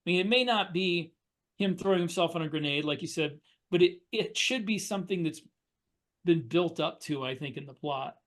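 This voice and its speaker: Slightly high-voiced man explaining casually. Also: normal speed, a bit bright. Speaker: podcast co-host and fiction author. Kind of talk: casual storytelling and discussion.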